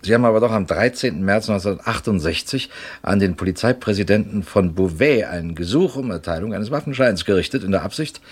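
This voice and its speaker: Radio-play inspector, male, husky low voice, polite but needling;. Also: animated. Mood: probing. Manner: polite, slow, needling questions. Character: probing middle-aged male police inspector.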